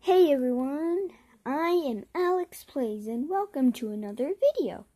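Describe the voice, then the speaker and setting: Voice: high voice, animated, measured pace, dark tone. A child hosting a casual video, trying out and showing a phone app. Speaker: video host.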